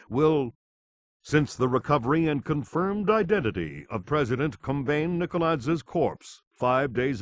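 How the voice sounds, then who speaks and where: A man acts as a male television news reporter in a game cutscene. His voice sits a little high and moves with lively intonation, while his delivery stays formal, composed and scripted like a broadcast bulletin. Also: no room sound, natural voice. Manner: formal, measured newsreader delivery.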